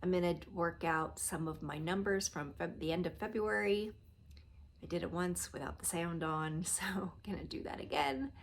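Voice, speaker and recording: A middle-aged woman with a nasal voice from a cold, chatting casually to camera in her living room, trailing off softly at the ends of phrases. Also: lowish voice, normal speed, gravelly, a little hiss or hum. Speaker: reseller vlogger. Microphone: phone or camera close at arm's length.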